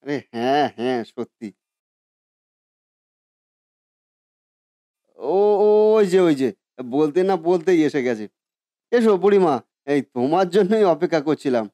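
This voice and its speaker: A man acts out a village biryani cook for a cartoon story. He is eager, folksy and deferential, with a mellow, somewhat high male voice. The recording is dry. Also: lively intonation, normal speed, no echo, lightly acted. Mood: reassuring. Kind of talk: Bengali cartoon story voice acting.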